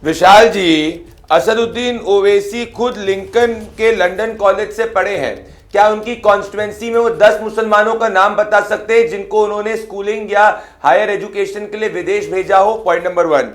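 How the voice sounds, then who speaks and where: A man's voice: high voice, steady tone, moderate pace, roomy sound. A man speaking as a party spokesperson in a TV news debate, combative and reeling off figures and numbered points. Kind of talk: televised political debate.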